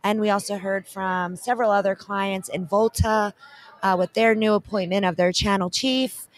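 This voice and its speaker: A middle-aged woman co-hosts a wrap-up from an expo booth set, speaking into a handheld mic. Her voice sits at a middle pitch, and she talks in a bright, promotional way full of thank-yous and shout-outs. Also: steady tone, moderate pace. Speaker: co-host. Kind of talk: event wrap-up chat.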